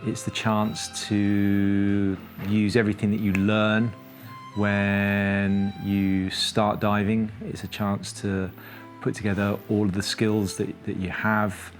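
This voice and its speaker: Man, 40s, slow and low, steady diver interview, faint music. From Kent, England. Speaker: wreck diver. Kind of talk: interview answers.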